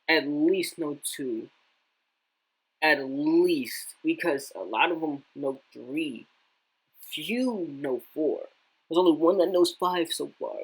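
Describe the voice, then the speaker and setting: A reaction video host talking over a street interview clip, blunt and quick to swear. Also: mid-range voice, slightly rough, low-quality recording.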